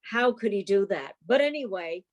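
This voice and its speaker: A woman's voice: medium pitch, animated, moderate pace. A woman chairing an informal club meeting over a video call, chatty and rambling with wry asides.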